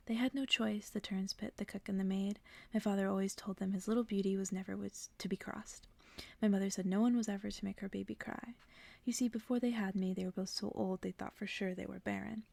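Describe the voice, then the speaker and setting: A woman reads softly in a hushed ASMR manner. Her voice is mellow and creaky, often slipping into a breathy whisper, and it stays soothing throughout. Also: medium pitch. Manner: soft, whispery, slow and soothing delivery. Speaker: ASMR creator reading aloud. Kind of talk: ASMR bedtime story reading.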